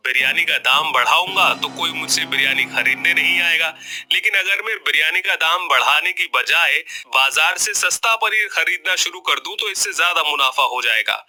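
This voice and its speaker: A man's voice: a little high, normal speed, bright, forceful tone. A man voicing a greedy, scheming street food vendor in a grumbling, wily way. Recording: music bed behind the voice.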